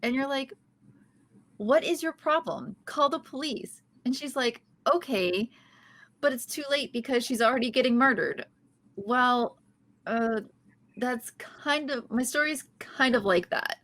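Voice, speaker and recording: A woman acts out a quirky, awkward young sitcom woman. Her voice sits fairly high and she takes her lines very slowly, with an earnest manner. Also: a bit bright, exaggerated voice. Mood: rambling, exasperated. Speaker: guest reading the lead part at a table read. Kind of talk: comedy script table read.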